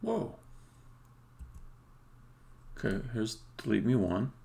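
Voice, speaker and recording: A man's voice: fairly low pitch, unhurried, gravelly, darkish tone. A man streaming live coding as a game developer, talking casually and bluntly in unscripted, sometimes sweary fragments as he thinks aloud. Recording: faint background noise, keyboard clicks.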